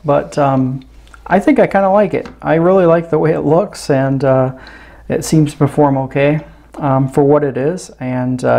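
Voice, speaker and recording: A man's voice: on the high side, creaky, mellow. A middle-aged man giving a casual, unscripted product review with easygoing, hesitant phrasing. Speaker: host of a gadget review channel.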